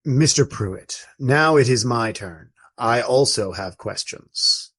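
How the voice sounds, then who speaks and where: A man playing an old friar in a radio play, speaking in formal, ornate, deliberate phrases with gallant fondness. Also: medium pitch, animated, fairly bright tone, full character voice. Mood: curious, probing. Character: courtly old Trappist friar, fond, wry, gallant storyteller.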